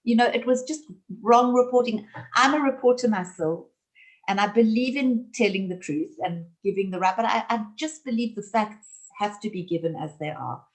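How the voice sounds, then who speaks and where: A woman's voice: medium pitch, rough recording. A woman, speaking earnestly and emphatically as an author guest in an interview. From South Africa. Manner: earnest, emphatic, speeds up and slows down. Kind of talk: conversational interview.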